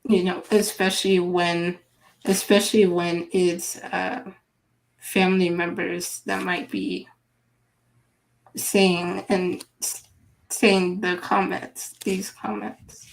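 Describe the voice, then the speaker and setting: A woman hosting a live online interview, speaking in an unhurried, halting way as she reads viewer comments aloud. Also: lowish voice, little pitch movement, vocal fry, slight background noise, poor audio. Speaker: livestream host.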